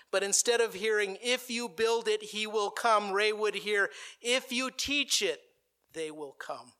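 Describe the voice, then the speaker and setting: Bright, high-voiced male pastor preaching. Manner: dramatic, builds to a stirring recited speech. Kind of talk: sermon. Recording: played from an archive recording.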